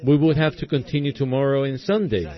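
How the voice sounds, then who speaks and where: A male preacher delivering a sermon in a hoarse voice, speaking in deliberate, halting phrases with many pauses. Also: mid-range voice, dull audio.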